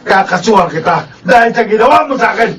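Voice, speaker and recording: A man's voice: sits high, animated, fast talker, far from the mic, background noise. A man acting a betrayed, furious company boss in a heated radio drama. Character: betrayed, outraged male company boss scolding his cousin.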